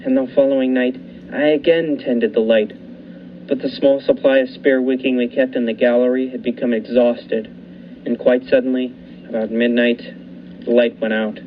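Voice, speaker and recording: A man playing a haunted lighthouse keeper who narrates a radio thriller with dramatic, deliberate pauses. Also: mid-range voice, little pitch movement, natural voice. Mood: grim, tense. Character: haunted lighthouse keeper recounting his ordeal. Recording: muffled lo-fi recording with faint noise.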